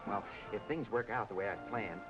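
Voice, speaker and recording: A man's voice: fairly low pitch, a little fast, natural voice. An actor playing an eager, hopeful, good-natured young working man in a vintage film noir drama. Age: young adult. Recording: dull vintage film soundtrack with music.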